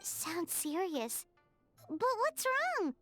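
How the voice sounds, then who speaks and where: A woman voicing a tiny, excitable, childlike fairy girl companion in a video game, squeaky and full of naive questions. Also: very slow, exaggerated voice. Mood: worried, surprised. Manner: naive questioning, refers to herself by name. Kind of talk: English video game voice acting. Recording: music behind the voice.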